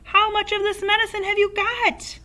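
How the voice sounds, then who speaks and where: A woman reading a children's story aloud, voicing an excitable small farmer who shouts and exclaims. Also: fairly high pitch, unhurried, pitched above the performer's own voice, exaggerated voice. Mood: eager.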